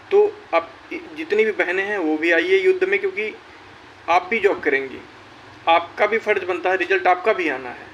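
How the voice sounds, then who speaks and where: A man's voice: a little high, a bit bright. A young man hosting his own channel, urging job candidates to join the fight and repeating phrases for emphasis. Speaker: YouTube channel host. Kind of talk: rallying address to job candidates. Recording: dull lo-fi sound with faint hiss.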